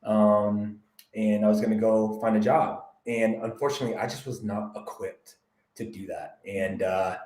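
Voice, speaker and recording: A man from the United States, a realtor guest on a podcast, telling his story in a candid, reflective, measured way. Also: lowish voice, little pitch movement, a little mellow, reverberant. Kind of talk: podcast interview.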